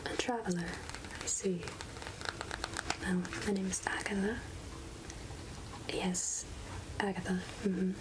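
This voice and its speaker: Woman playing a slow, whispery, gentle witch healer. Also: mid-range voice, fairly even intonation, natural voice. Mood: curious, gentle. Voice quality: soft, breathy, half-whispered. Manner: slow, gentle, hesitant murmuring with repeated phrases. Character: gentle, absent-minded witch healer and potion seller. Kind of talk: soft-spoken ASMR roleplay. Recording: close-up ASMR with clear background noise.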